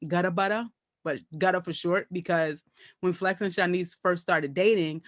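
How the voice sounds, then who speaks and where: Animated Brooklyn woman, dry close sound. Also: fairly low pitch, normal speed, dry recording. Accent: Brooklyn New York. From Brooklyn, New York. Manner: animated, laughing, puts on voices for quotes. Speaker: comedian guest. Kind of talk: casual chat.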